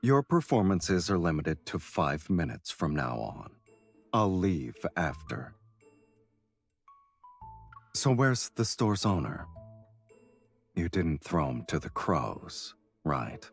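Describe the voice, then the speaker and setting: An actor playing a commanding, dry, terse man with a husky voice, a crime boss in a dubbed game story. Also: sits low, sing-song, takes it slowly, pauses often, faint music behind, heavily acted. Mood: stern, dry. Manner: terse, dryly teasing.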